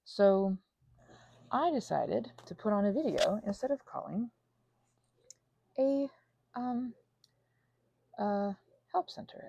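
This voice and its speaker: An anxious, panicky woman rambling casually as a vlog host, halting and drifting off on tangents, with playful theatrical flourishes. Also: mid-range voice, creaky, husky, dry recording. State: unwell. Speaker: ASMR channel host.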